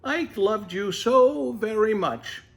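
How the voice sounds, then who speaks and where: A man voicing a wise, gentle, very old mother tortoise in a children's storybook. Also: high voice, lively intonation, slow, gravelly, voice pushed up, full character voice. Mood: gentle, consoling.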